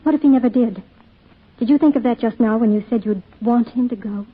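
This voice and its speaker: Tinny, narrow-band old radio recording of a protective mother. Her voice is slightly high and husky, with an anxious, earnest, pleading delivery in vintage radio drama style. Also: fairly quick. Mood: worried, pleading.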